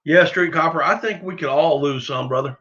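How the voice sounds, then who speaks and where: Bright-voiced Southern US man, casual and folksy. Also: a little high, a little fast, lo-fi sound. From southern United States. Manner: rambling, folksy, self-deprecating. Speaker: livestream host of a duck hunting channel. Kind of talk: casual livestream chat, answering viewers.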